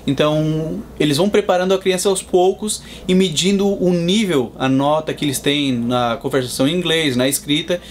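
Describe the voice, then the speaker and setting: A Brazilian man in his thirties, a father, answering interview questions in a measured, explanatory way. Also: fairly high pitch, a little hiss or hum. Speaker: guest, Brazilian father in Boston.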